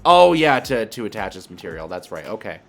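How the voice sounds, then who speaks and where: A man's voice: on the low side, big pitch swings, a little fast, vocal fry, fairly bright tone, faint music behind. A man giving casual gameplay commentary, thinking aloud in a hesitant, muttering way as he reasons through his moves. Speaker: video creator and card game player.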